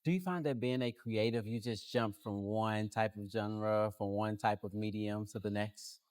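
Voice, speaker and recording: A man hosting a podcast, asking his guest questions in a measured, earnest way. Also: fairly low pitch, steady tone, darkish tone, clean tone. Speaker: podcast host. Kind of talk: interview questions.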